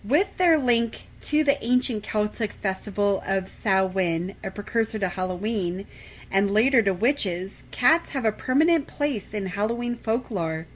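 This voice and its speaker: A woman's voice: mid-range voice, lively intonation, a little slow. A woman co-hosting a radio show, reading scripted trivia facts aloud.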